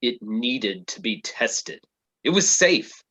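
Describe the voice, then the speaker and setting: A man's voice: medium pitch, big pitch swings, takes it slowly, bright, forceful tone, full character voice. A man playing an earnest, defensive tech-suited superhero who justifies himself, in a staged play reading.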